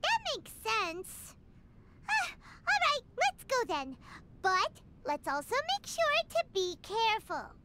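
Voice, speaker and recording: A woman voicing a tiny, excitable, jumpy fairy companion girl with a squeaky childlike voice in a video game. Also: slow pace, heavy background noise, heavily acted. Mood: wary.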